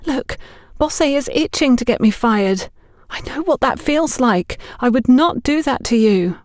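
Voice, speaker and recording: A woman's voice: high voice, lively intonation, unhurried, a little mellow, no echo, studio quality, pitched above the performer's own voice. A woman voicing a frightened young shop girl who pleads nervously and urgently in an audiobook story. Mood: urgent, pleading.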